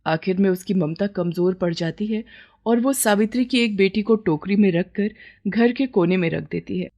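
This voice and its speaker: A woman's voice: medium pitch, normal speed, mellow, lo-fi sound. A woman narrator reading a scripted moral story.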